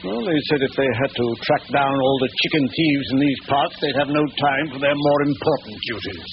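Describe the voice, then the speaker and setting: A man's voice: medium pitch, steady tone, fairly quick, close to an everyday voice. Guy playing huffy english village squire, fussy and pompous, old radio drama, dull hissy recording. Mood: indignant. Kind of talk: 1940s radio drama acting. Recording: muffled noisy 1940s radio recording.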